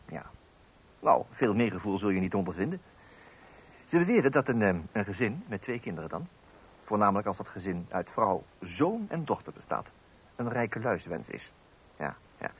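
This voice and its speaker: A man's voice: sits low, lively intonation, husky. Flustered middle-aged father, swinging intonation. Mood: wry, confiding. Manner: hesitant, halting, conversational. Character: mild, flustered 43-year-old new father, easily unsettled. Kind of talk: radio play acting and wry narration. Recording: noisy old radio play recording.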